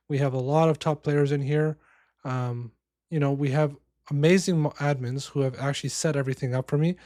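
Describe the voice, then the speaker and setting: A man with a hoarse voice from being sick, giving loose casual commentary on a strategy game and often restarting his phrases. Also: medium pitch, a little mellow, dry recording, clean studio sound. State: hoarse, losing voice from illness.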